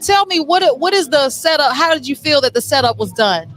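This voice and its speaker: High-pitched woman hosting casual interviews. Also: expressive, a little fast, a bit bright. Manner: chatty, informal, playful. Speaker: talk show host interviewing playgoers. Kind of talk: casual on-location interview chat. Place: on location backstage at a theater. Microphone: handheld or stand mic with headsets.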